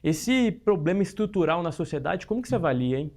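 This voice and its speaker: A man's voice: a little high, very animated, fairly quick, darkish tone. A man hosting a studio talk show, upbeat and friendly, putting questions to his guests. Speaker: news show host.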